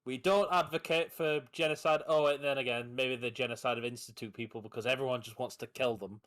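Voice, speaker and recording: A man's voice: medium pitch, steady tone, fairly quick, fairly bright tone. A man co-hosting a casual podcast, dry and sarcastic in his banter. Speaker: podcast co-host. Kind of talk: casual chat.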